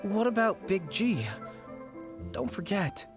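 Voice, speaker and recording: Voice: mid-range voice, animated, slow pace, over music. A timid, stammering employee. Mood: nervous. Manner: hesitant, stammering. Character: timid funeral parlor employee, nervous and hesitant. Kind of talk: video game voice acting.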